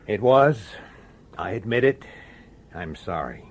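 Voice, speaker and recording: An actor playing a gruff, skeptical, exasperated police detective who questions things bluntly in a radio drama. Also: male voice, on the low side, big pitch swings, slow, heavily acted. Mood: irritated, uneasy.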